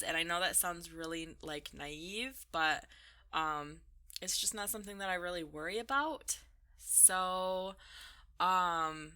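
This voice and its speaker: A woman's voice: lowish voice, sharp tone. A woman gaming vlogger answering a list of questions in an informal, rambling, giggly way. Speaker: video game vlogger. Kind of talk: casual chat, answering a list of questions over gameplay.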